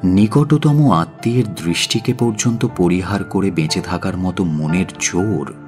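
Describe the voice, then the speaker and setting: A man narrating a dramatic audio story in a measured, solemn and expressive way. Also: deep voice. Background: faint music.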